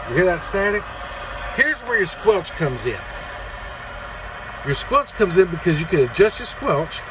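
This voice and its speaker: A man's voice: on the high side, a little hiss or hum, rough recording. A man giving a casual, folksy, hands-on explanation in a rambling way, like a trucker teaching others. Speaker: host, truck driver. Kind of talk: casual instructional video, explaining radio controls.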